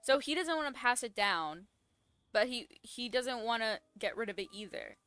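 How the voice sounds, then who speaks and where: A woman's voice: fairly high pitch, lively intonation, normal speed, fairly bright tone, clear, resonant tone. A woman giving informal, spontaneous commentary while playing a video game. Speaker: gamer reacting to story quest. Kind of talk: casual gameplay commentary.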